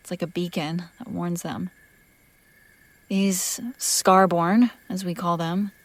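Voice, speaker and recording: A woman acts out a young female vampire master in an intimate roleplay audio drama. Her voice sits a little low and is recorded very dry and close. She talks casually and haltingly, teasing and protective, slipping at times toward a breathy whisper. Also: no room sound, close to an everyday voice.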